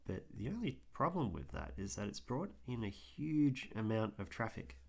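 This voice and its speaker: A man talks through his drawing on a live stream in a rambling, easygoing way, full of ums. His voice is hoarse and gravelly, and it sits fairly low. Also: sing-song, moderate pace. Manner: rambling, frequent ums, thinks aloud while working. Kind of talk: casual livestream commentary while drawing.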